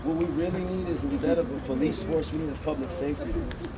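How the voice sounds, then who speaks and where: A man's voice: fairly high pitch, quick pace, recorded from a distance, background noise. Blunt man in his thirties, outdoor street speech. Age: 30s. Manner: blunt, repeats "you see what I'm saying". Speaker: protest organizer.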